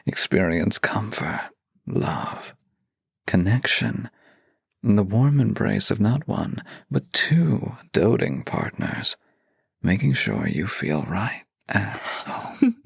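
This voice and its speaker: A man with a deep-leaning voice, husky and full of fry, hosts an intimate audio show. He talks straight to the listener in a theatrical, playful way. The sound is close and dry. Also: big pitch swings, slow pace, no echo. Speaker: voice actor hosting an audio series. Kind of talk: scripted, intimate introduction to listeners.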